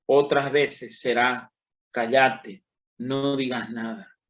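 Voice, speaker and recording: Slow, emphatic preaching man. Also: mid-range voice, fairly even intonation, fairly bright tone. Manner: slow and emphatic, rising on key words, addressing listeners directly. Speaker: pastor teaching a Bible class. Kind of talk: sermon-style Bible lesson.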